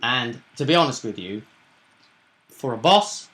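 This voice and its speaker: A man with a bright, forward voice talks through a tabletop roleplaying game as its game master. He explains things in a casual, conversational way and keeps looking for agreement with little tag questions. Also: mid-range voice, very animated, measured pace.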